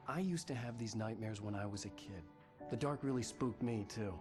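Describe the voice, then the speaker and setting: Actor as troubled writer, music behind him. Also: male voice, mid-range voice, a little slow, close to an everyday voice. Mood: gentle. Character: troubled male writer, tender with his wife, haunted narrator. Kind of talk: video game acting and first-person narration. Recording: music under the voice. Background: background music.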